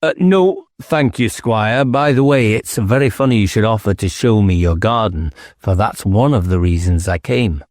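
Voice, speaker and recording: A man's voice: on the low side, lively intonation, normal speed, hardly any pauses, a little mellow, dry recording, studio quality, natural voice. A man voicing a dogged, blunt police inspector in an audiobook, probing in a pointed, formal way. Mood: polite. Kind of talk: audiobook character dialogue.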